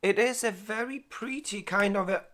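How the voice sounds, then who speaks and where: A man in his forties, a beauty vlogger reviewing makeup, who gushes and exclaims often and speaks in halting phrases with many restarts. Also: high-pitched, fairly bright tone. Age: 40s. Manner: gushing, exclaims and laughs often. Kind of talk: product review and makeup tutorial.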